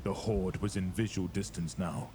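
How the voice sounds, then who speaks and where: A man's voice: low voice, steady tone, moderate pace, background noise. A man narrating a scripted horror audio drama in a dramatic storytelling style.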